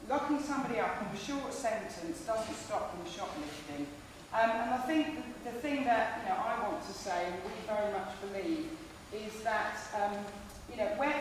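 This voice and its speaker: A woman speaking at a campaign event, earnest and persuasive, with frequent ums. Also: medium pitch. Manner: says she has a loud voice. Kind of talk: public talk at an event. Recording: echoey hall, faint noise.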